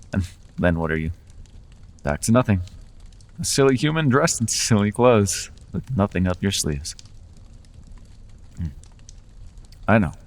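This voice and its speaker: A man voicing a charming, manipulative male fey lord in an ASMR roleplay, speaking in a silky, coaxing, teasing way. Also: sits low. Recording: long reverb.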